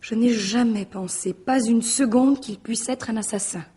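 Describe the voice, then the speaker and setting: A woman's voice: fairly high pitch, normal speed, warm tone, husky. A woman acting a guarded, distraught young mistress in a French radio mystery drama, speaking formally, evasively and with hesitation. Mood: anguished.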